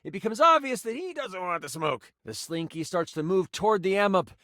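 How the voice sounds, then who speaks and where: A high-voiced, theatrical game master narrates fantasy combat for a video game. His delivery is animated and dramatic, savoring key words, recorded dry and close. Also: brisk, dry recording. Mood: storytelling. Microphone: close studio mic.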